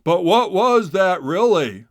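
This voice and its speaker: A man voicing a sly, suspicious male otter official, loud and probing, in a children's storybook. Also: high-pitched, lively intonation, slow, no room sound, studio quality, pitched above the performer's own voice, full character voice. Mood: suspicious shouting.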